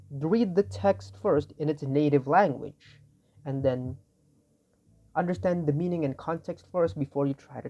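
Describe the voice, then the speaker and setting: A young man with an Indonesian accent gives a loose tutorial, his voice raspy from not sleeping, and he rambles and keeps correcting himself. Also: a little high, darkish tone, muffled recording. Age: young adult. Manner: tired, rambling, self-correcting. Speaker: student. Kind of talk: informal tutorial explanation.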